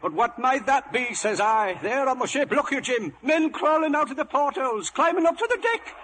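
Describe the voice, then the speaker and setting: An actor playing a skittish, half-crazed old marooned sailor with rustic pirate speech in a dramatized adventure story. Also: male voice, expressive, moderate pace, a crowd in the background. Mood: excitable. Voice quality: old, cracked high voice. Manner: rustic pirate speech, quoting himself aloud.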